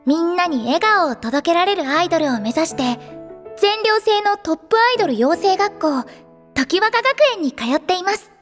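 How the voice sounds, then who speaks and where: Very high, sweet teenage idol girl. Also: moderate pace, long reverb tail. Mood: bright, gentle. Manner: sweet, earnest, expressive delivery. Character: cheerful, earnest teenage girl idol in training. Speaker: narrator and lead character. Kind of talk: poetic Japanese anime audio drama narration. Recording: music behind the voice.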